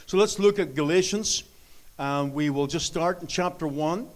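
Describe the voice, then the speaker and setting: A middle-aged man with an Irish accent, preaching a sermon in an earnest, halting way with many fillers. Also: a little high. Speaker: pastor. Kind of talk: sermon with scripture reading.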